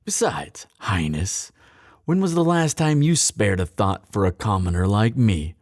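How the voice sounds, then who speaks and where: Slow, sardonic, bitter thief acted by a man. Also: lowish voice, animated, a little mellow, dry recording, studio quality. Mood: pained, bitter. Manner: sardonic, theatrical, deliberate pauses. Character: bitter, mocking young male thief hardened by grief. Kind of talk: dramatic fantasy audio roleplay, addressed to listener.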